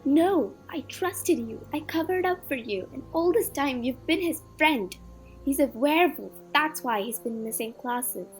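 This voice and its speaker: A woman playing a bright, loyal teenage witch in an audio drama, earnest and dramatic. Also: sits high, lively intonation, a little slow, soft background music, poor audio. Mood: betrayed, accusing. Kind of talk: fan audio drama acting.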